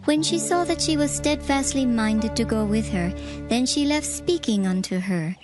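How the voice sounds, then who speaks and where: Female narrator, fairly high voice, measured solemn delivery, dramatized scripture, clear music bed. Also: animated.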